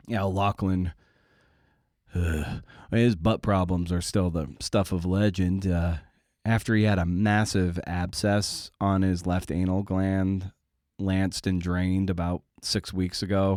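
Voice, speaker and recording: A man's voice: deep voice, darkish tone, no room sound, studio quality. A middle-aged man hosting a podcast, rambling casually with wry, self-deprecating, sometimes profane candor. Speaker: podcast host.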